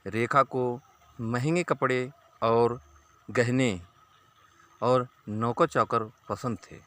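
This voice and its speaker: A male storyteller narrates a simple moral tale at an extremely slow pace. His voice is bright and forceful. He delivers the story deliberately, breaking it into short phrases for his listeners. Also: medium pitch, steady tone.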